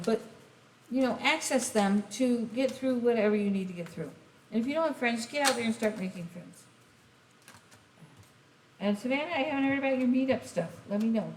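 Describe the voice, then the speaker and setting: A woman giving a casual tarot reading, rambling and speaking straight to the listener, her voice going hoarse. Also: a little high, lively intonation, moderate pace. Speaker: tarot reader. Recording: echoey room, faint hiss.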